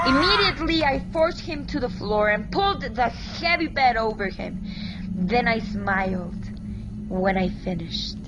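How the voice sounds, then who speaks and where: Loud music surrounds a dull, lo-fi recording of a nervous killer played by a woman telling a gothic horror tale. The voice is fairly high, intense and deliberate, dramatically insisting on being sane while obsessing over a murder. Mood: terrified, frantic, then coldly satisfied. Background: prominent background music.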